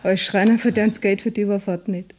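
A woman's voice: medium pitch, steady tone, fairly quick, a little mellow, natural voice. A woman playing a brazen, mercenary girl of about seventeen with a Bavarian accent in a radio play. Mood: sceptical. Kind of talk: 1970s German radio play acting in dialect.

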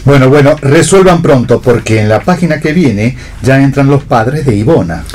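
A man's voice: mid-range voice, animated, normal speed, darkish tone, natural voice. A man playing a fussy, exasperated theatre prompter in a radio play reading.

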